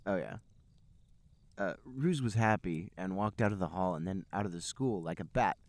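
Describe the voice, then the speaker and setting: A man's voice: deep voice, normal speed, no room sound. A man hosting a podcast, reading comedic narration aloud. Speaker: podcast host and reader.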